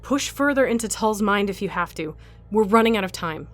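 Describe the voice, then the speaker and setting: An actress voices a hard, bitter woman held captive to fight in an arena. She is blunt and sardonic, always looking out for herself and demanding answers. Her pitch is average for a woman, and music plays behind this audio drama. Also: lively intonation, brisk, close to an everyday voice. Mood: demanding, cold.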